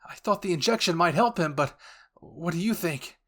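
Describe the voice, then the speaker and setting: An agitated young male soldier from an anime, played by a man, loyal and panicked. His speech is urgent, with the pitch pushed up. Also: expressive, moderate pace, a bit bright. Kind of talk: anime roleplay voice acting.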